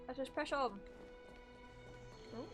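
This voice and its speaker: A young woman giving casual, giggly live reactions as she streams a game, rambling in an animated way. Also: high voice, takes it slowly. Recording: loud game music behind.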